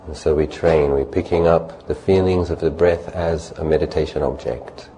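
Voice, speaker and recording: Very deep, mellow man guiding meditation. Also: rough recording. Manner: calm, unhurried, with long pauses between short phrases. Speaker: meditation teacher. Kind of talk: guided meditation, spoken instruction. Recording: faint background noise and ambience.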